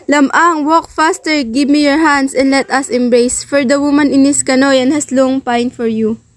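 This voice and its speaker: Woman as high-voiced, yearning young noble bride. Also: steady tone, moderate pace, no echo, lightly acted. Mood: longing. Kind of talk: readers' theater of a folk epic.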